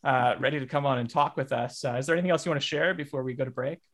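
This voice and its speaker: A man's voice: mid-range voice, very fast, a bit bright. A man hosting a casual comedy podcast, talking in a hesitant, rambling way as he interviews. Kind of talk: casual improvised comedy chat.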